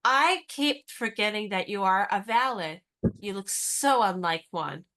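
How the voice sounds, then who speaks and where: An actress in a radio play playing a spirited young woman disguised as a lady's maid, talking in a brisk, teasing, knowing way. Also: mid-range voice, expressive, bright, forceful tone.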